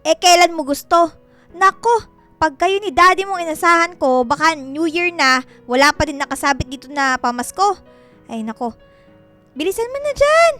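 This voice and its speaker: A woman's voice: extremely high pitch, animated, normal speed, fairly bright tone, faint music behind. A woman playing a nagging, exasperated mother of a teenage girl in a Filipino radio drama, scolding and exclaiming.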